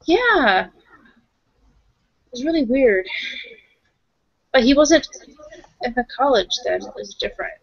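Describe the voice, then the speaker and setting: A woman improvising roleplay conversation, thinking aloud haltingly and often repeating her phrases. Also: fairly high pitch, lively intonation, vocal fry, slightly rough, no echo, muffled recording. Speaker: tabletop roleplaying player and game runner.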